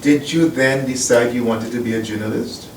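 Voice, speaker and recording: A male interviewer puts plain, measured questions to his guest at a middle pitch. He sounds far from the microphone. The room is echoey and a steady background noise runs underneath. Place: newspaper office. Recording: echoey, distant mic, clear noise.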